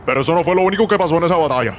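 Fast, high, scolding companion voiced by a man. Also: expressive, background noise, close to an everyday voice. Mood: exasperated. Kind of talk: parody anime dub acting. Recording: music behind the voice.

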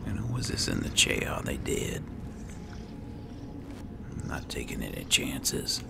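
A man with an extremely low, heavily fried voice hosts a casual gaming video. His pitch swings widely as he mutters to himself, with noisy game ambience behind him. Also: huge pitch swings, a little slow, gravelly. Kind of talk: casual let's play commentary.

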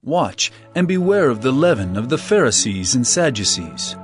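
A man's voice: mid-range voice, big pitch swings, normal speed, soft background music, close to an everyday voice. An actor playing an earnest male religious teacher in a dramatized scripture reading, with emphatic delivery. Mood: stern.

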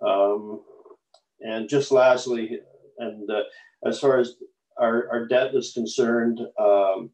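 A man's voice: mid-range voice, fairly even intonation, slow, a little mellow. A man on a council video call, asking questions with many fillers and restarts. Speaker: city councillor. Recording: echoey distant lo-fi video call.

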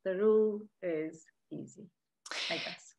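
A woman's voice: on the low side, slow, darkish tone. A young woman co-hosting a podcast over a video call on a headset, cheerful, laughing often and trying out new words. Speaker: podcast co-host, learner. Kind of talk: casual language-learning chat. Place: room indoors. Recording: dull lo-fi call audio.

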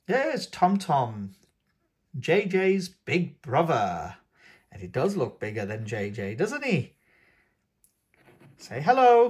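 A man with a husky, breathy voice reads a picture book to toddlers. His pitch swings widely as he coaxes the little ones along in a sing-song way, and he takes his time over each line. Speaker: children's book reader and channel host. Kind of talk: reading a picture book aloud to young children. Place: indoors at a tabletop, hands showing a book.

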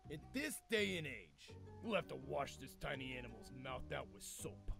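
A man voicing a menacing, easily offended bull street thug with a gravelly, bullying voice in a cartoon video game. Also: medium pitch, sing-song, moderate pace, over music. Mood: scolding. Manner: bullying, forceful.

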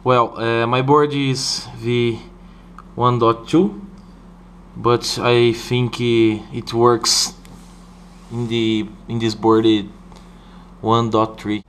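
A man from Brazil with a Brazilian Portuguese accent, walking through a hardware setup in halting English, stopping often to ask "okay?". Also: mid-range voice, flat delivery, a little hiss or hum. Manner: halting, with many "uh" and "okay?" checks. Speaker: hobbyist showing a hardware setup. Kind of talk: hands-on tutorial walkthrough. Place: indoor room at a desk.

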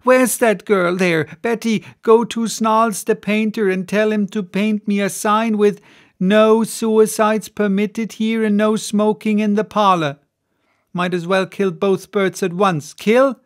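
A man voicing a fussy, bossy middle-aged woman innkeeper in an audiobook, with shrill, scolding exclamations. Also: steady tone, slow pace. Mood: fretful. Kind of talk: audiobook character voice.

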